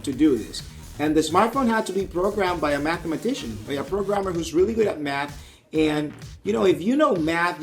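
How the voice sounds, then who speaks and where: A man, a math teacher, speaking earnestly in an interview about his teaching. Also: a little high. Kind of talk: interview to camera.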